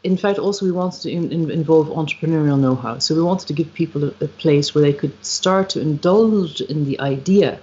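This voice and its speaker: A woman with an Irish accent, a musician being interviewed on a podcast, speaking reflectively and searching for her words with frequent pauses and ums. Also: low-pitched, a little mellow. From Ireland. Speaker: guest, musician and retreat founder. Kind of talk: podcast interview, thoughtful conversation. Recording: slightly dull, lo-fi livestream audio.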